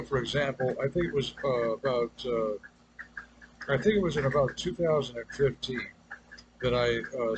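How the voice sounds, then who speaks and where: A man giving an unscripted, rambling personal monologue in a halting, hesitant way, trailing off and circling back to his point. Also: mid-range voice. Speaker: personal video maker. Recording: clearly noisy ambient background.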